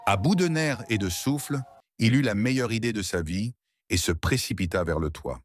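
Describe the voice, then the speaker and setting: A man narrating a scripted children's story as storyteller, in an expressive, dramatic way. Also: fairly low pitch, normal speed.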